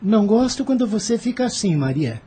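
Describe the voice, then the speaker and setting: A woman voicing a sullen, hot-tempered teenage boy with a husky voice in an audio novel. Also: mid-range voice, very animated, fairly quick, mellow. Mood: insistent.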